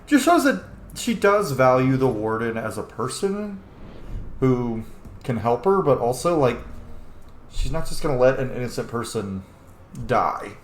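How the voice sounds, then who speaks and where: A man's voice: mid-range voice, very animated, unhurried. A man hosting a roleplay playthrough of a video game, chatting informally and casually. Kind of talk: casual let's-play commentary. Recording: roomy echo, faint background noise.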